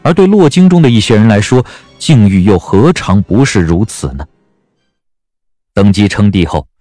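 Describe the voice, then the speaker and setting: A man's voice: on the low side, mellow, dry recording, clean studio sound. A man narrating a Chinese period novel as a dramatic scripted audiobook. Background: background music.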